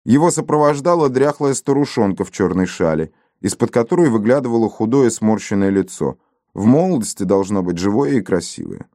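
A man reading an adventure novel aloud as an audiobook narrator, in an expressive, measured storytelling manner. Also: lowish voice, no echo, clean studio sound.

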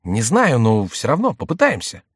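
A man's voice: mid-range voice, wildly swooping pitch, normal speed, breathy edge, no room sound, clean studio sound, pitched above the performer's own voice. A man voicing a sly, mocking French court jester in a theatrical, exclamatory audiobook read. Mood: brisk, teasing. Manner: theatrical, exclamatory, mock-plaintive.

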